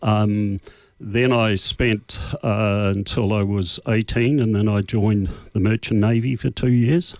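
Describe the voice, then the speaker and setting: Older New Zealand man, low and unhurried. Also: steady tone, darkish tone, dry recording. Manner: unhurried, hesitant with many uh fillers. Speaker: radio guest. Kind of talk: casual interview answers. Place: radio studio.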